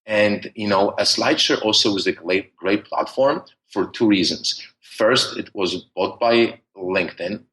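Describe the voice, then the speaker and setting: A man's voice: lowish voice, unhurried, hardly any pauses, a bit bright, rough recording. A man with a thick Polish accent, talking at length as a podcast guest, with many restarts and fillers. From Poland. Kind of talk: conversational interview.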